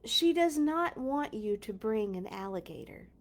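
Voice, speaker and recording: A woman reading a children's storybook aloud in a playful, expressive way, with casual chatty asides. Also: on the high side, measured pace, a little hiss or hum. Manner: playful, expressive, exclaims and comments while reading.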